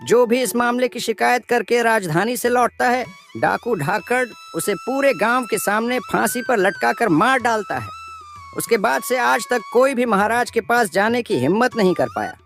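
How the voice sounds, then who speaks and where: A man's voice: very high voice, animated, moderate pace, gravelly, a bit bright, faint music behind, heavily acted. Man acting anxious village headman explaining troubles. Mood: anxious, grave. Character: anxious village headman reporting a bandit's cruelty. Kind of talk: animated moral story dialogue.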